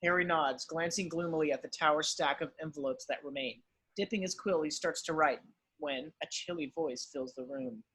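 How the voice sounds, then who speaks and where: A man's voice: fairly high pitch, moderate pace, sharp tone, husky, low-quality recording. A man narrating screenplay directions at an amateur table read.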